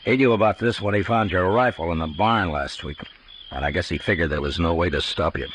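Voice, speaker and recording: An actor playing a stoic frontier marshal in a 1950s radio Western, terse, firm and grimly weary. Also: male voice, sits low, a little fast, fairly bright tone. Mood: regretful. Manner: terse, plainspoken, authoritative. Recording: noisy old 1950s radio recording.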